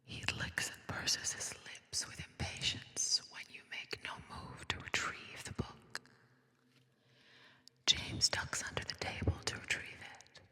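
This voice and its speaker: A woman whispering a scripted ASMR fantasy story softly and deliberately, with dramatic pauses, close on a binaural microphone. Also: long reverb tail.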